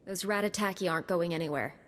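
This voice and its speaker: An actress playing a composed, principled young warrior heroine in a video game, direct and self-assured. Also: female voice, lowish voice, fast, close to an everyday voice. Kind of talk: video game cutscene acting. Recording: long hall reverb, music behind.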